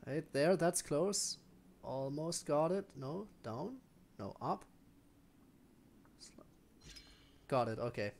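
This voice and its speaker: A man's voice: a little high, lively intonation, takes it slowly, vocal fry, pauses often, a little mellow, a little hiss or hum. A man giving casual live gameplay commentary, often muttering to himself as he plays. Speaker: game streamer.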